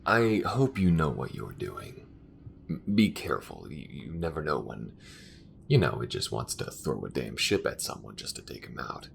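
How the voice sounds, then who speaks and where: Male actor as weary spaceship crewman, very deep voice, lively intonation, grudging, caring, halting delivery, sci-fi audio drama. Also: gravelly, slight background noise, heavily acted. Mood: wary, concerned. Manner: halting, conversational, muttering at times. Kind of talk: intimate audio roleplay acting.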